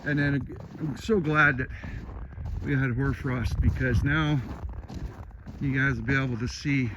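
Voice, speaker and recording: Casting: easygoing man, unhurried homeowner walkthrough, rambling and casual, noisy outdoor recording. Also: medium pitch, fairly even intonation. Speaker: homeowner giving a tour of his Christmas light display. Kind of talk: casual unscripted tour narration. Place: outdoors on a rural acreage.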